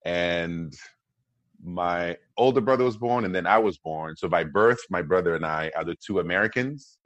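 A man in his forties who grew up in Congo speaks as a podcast guest, his voice sitting very low. He tells stories in an upbeat way, pausing on "uh" and "um" as he goes. The sound is dry and close, with no room around it. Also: no echo, highs cut off. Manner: warm, upbeat storytelling with frequent "uh" and "um" fillers. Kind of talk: conversational interview.

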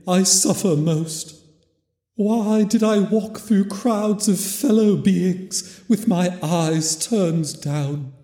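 A man voicing a mournful male ghost in chains, solemn and drawn-out, with an echoing reverb effect. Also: sits high, mellow, voice pushed up. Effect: hall reverb. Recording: long echoey reverb.

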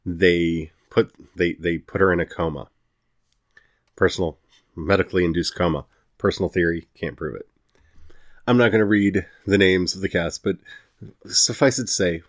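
A man hosting a solo podcast, giving a casual, enthusiastic and emphatic review. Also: low-pitched. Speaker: podcast host.

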